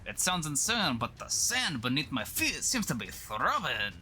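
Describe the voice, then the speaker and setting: A man's voice: a little high, huge pitch swings, normal speed, pushed and bright, soft background music, heavily acted. A man voicing an alarmed, melodramatic plant worker in a dramatic comic-book reading. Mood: uneasy. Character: panicked French male atomic plant worker in the desert.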